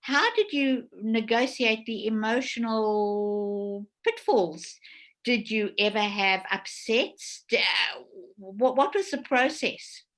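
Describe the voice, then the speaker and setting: A woman hosting an online panel talk, explaining things deliberately and relaying questions from attendees. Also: a little high, a bit bright. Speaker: host and theatre director.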